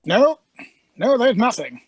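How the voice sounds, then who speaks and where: A woman playing an eccentric, shrewd time traveller in a radio sci-fi serial, husky, musing aloud and repeating phrases. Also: on the high side, big pitch swings, slow pace, darkish tone, a little hiss or hum.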